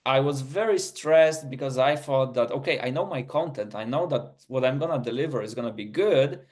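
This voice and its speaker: A man's voice: medium pitch, moderate pace, a little mellow. Non-native English-speaking male presenter, middle-aged; earnest, storytelling delivery, often restarting his sentences. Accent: non-native English accent. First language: not English; English is his third language. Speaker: researcher presenting a webinar. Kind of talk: presentation talk, story-driven.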